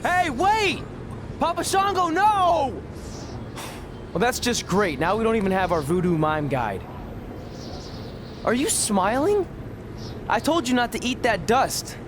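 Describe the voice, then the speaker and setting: A man's voice: very high voice, very animated, takes it slowly, pauses often, background noise, exaggerated voice. A man playing an exasperated, skeptical young reluctant hero in a video game, with a sarcastic manner. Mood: alarmed. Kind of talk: video game cutscene acting.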